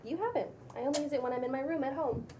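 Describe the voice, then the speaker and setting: A woman's voice: sits high, very fast, background noise, natural voice. A woman playing a self-assured, breezy young spiritual influencer in a table read, casual and a little preachy. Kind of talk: cold table read of a pilot script.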